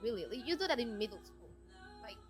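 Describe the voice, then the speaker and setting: A woman's voice: fairly high pitch, sing-song, fast, soft background music. A woman chatting casually as a podcast guest, frank and emphatic in the way she talks. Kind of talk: casual chat.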